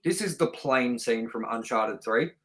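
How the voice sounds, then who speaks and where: A man's voice: mid-range voice, lively intonation, measured pace, fairly bright tone. A man co-hosting a movie review show, chatting informally and bluntly, with some swearing. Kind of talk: casual review chat.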